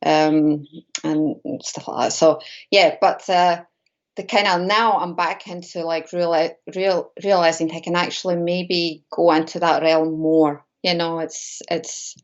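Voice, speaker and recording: A woman's voice: lowish voice, fairly even intonation, unhurried, dull audio. A Latvian woman, a native Latvian speaker, talking as a guest about mediumship in a hesitant, filler-heavy chat over a video call. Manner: hesitant, many fillers and restarts. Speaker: guest medium and shamanic practitioner. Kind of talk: casual interview chat.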